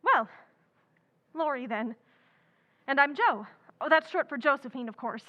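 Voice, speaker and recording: An actress playing an outspoken, impetuous young writer, chattering and blunt, in an old-time radio drama. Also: female voice, sits high, animated, unhurried, a bit bright, dull audio. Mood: candid.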